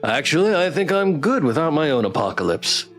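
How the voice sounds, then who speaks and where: A man's voice: on the high side, very animated, fairly quick, a bit bright. A man streaming a game, chatting casually as he reads game text and viewer comments aloud. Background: game music.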